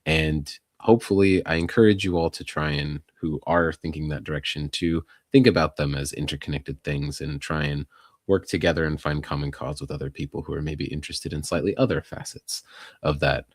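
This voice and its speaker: A man with a very deep voice, recorded dry and close. He speaks as a podcast co-host, conversational and earnest, unwinding his points in long, wandering sentences. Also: fairly even intonation, a little fast, dry recording. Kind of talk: conversational civic commentary.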